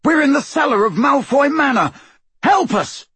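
A man's voice: extremely high pitch, expressive, moderate pace, a bit bright, no echo, pitched above the performer's own voice. A man voicing a frantic, desperate teenage boy hero in a storybook, urgent and imploring. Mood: yelling desperately. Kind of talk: storybook character voice.

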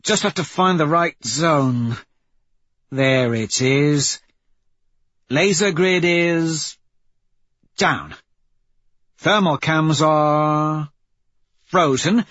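Man voicing a raised-pitch, brisk female soldier. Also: a bit bright, no room sound, lo-fi sound, exaggerated voice. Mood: focused. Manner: clipped, factual reporting.

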